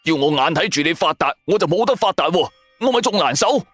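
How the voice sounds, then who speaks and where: A man's voice: on the high side, animated, fast talker, a bit bright, dry recording, soft background music, voice pushed up. A man voicing a brash, loyal male buddy hungry for riches, exclamatory and slangy, in a radio drama. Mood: earnest loyal. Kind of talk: Cantonese radio drama acting.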